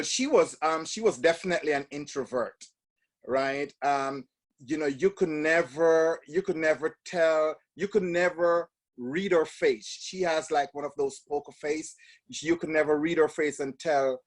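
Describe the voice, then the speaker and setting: A man's voice: fairly high pitch, measured pace. A Jamaican man in his fifties, a guest in an interview, telling stories reflectively with many restarts and fillers. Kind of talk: casual interview talk.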